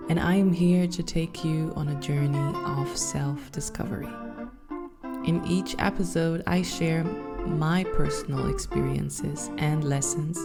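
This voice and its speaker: A woman in her thirties with a German accent, hosting her own podcast and speaking softly and thoughtfully with some hesitant fillers. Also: sits low. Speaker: podcast host. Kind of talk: reflective solo podcast talk.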